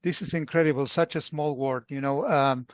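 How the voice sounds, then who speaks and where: A man co-hosting a casual interview podcast, effusive, with halting phrasing. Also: fairly high pitch, fairly even intonation, dry recording. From Buenos Aires, Argentina. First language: Spanish. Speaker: podcast co-host.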